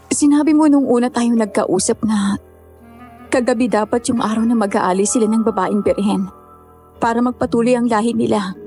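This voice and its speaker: A woman's voice: on the high side, dark tone, natural voice. A woman playing a polite, deferential young survivor in a horror audio drama. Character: grateful, questioning young woman, monster survivor.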